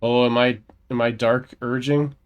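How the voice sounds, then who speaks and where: Mid-pitched man doing game playthrough. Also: flat delivery, normal speed, smooth, dull audio. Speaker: gaming streamer voicing his player character. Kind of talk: let's play commentary with in-character dialogue read aloud.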